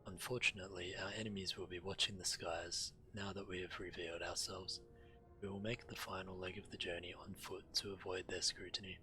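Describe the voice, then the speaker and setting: A man's voice: low voice, bright, forceful tone, poor audio. A male game streamer reading fantasy quest dialogue aloud and adding the odd comment.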